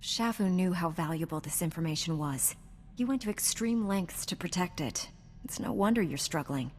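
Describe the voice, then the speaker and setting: A woman's voice: fairly low pitch, moderate pace, a little mellow, natural voice. An actress playing a loyal, caring young female Jedi knight in a video game, speaking in an earnest, reassuring way. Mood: earnest, supportive. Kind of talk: scripted video game dialogue. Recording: long reverb, clear background noise.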